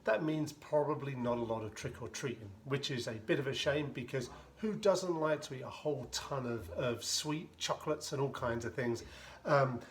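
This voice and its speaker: Guy mixing a drink and chatting away, dry jokes, middle-pitched voice, bit of hiss behind. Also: normal speed. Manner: chatty, rambling asides, dry humor; narrates while mixing and shaking drinks. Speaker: home bartender making a cocktail. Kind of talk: casual how-to demonstration.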